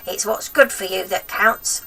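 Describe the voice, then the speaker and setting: A woman's voice: mid-range voice, steady tone, unhurried, pushed and bright, recorded from a distance, exaggerated voice. A woman voicing a sinister, gloating old grandmother in a storybook, drawing out nasty words with menacing relish. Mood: snappish, bossy. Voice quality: throaty, breathy whisper at times.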